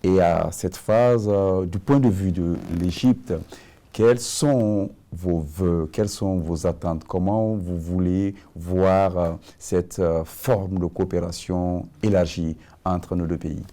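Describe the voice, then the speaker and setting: A man hosting a formal broadcast interview, asking questions in a measured, formal way. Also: low-pitched, steady tone, mellow. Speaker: TV interviewer, host.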